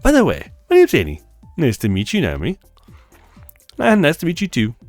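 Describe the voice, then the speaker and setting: A man's voice: a little high, wildly swooping pitch, moderate pace, no room sound, faint music behind. A man voicing a smitten, modest young woman in her twenties, eager and a little flustered, in a visual novel.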